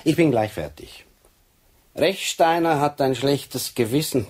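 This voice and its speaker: An actor playing a methodical police sergeant in a radio crime drama, speaking deliberately and insistently with a husky voice. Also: male voice, medium pitch, expressive, a little mellow. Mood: grave, insistent. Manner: deliberate, insistent, explaining step by step. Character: methodical Swiss police sergeant laying out a case.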